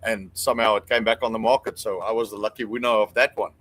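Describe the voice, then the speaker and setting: A man's voice: fairly low pitch, steady tone, quick pace, fairly bright tone. A man with a South African accent, chatting casually as a guest in an easygoing, good-humored way. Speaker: guest, small business owner. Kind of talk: casual chat.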